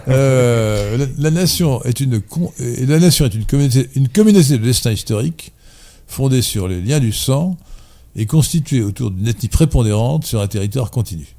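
A man in a casual debate, erudite and digressive, often pausing on fillers and correcting himself. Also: mid-range voice, animated, warm tone. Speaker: debate guest.

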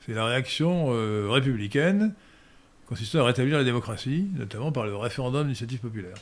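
A man holds forth in an unscripted question-and-answer session, speaking at an ordinary middle pitch for a man. He lectures in long explanations broken by frequent hesitation sounds, repeated words and self-corrections. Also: expressive, quick pace. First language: French. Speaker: guest answering viewer questions. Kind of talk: unscripted spoken Q&A.

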